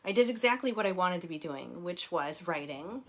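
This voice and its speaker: A woman's voice: on the low side, expressive, moderate pace. A woman author reading her own book aloud at home, laughing often and breaking off into casual personal asides. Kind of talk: audiobook reading with chatty asides. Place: home with children nearby.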